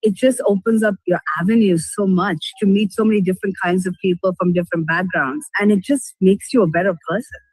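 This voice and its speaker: A woman's voice: medium pitch, fairly even intonation, brisk, few pauses, darkish tone, no room sound, faint music behind, low-quality recording. A young woman, giving a personal testimonial as a graduate who studied abroad. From India. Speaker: business graduate, study-abroad alumna.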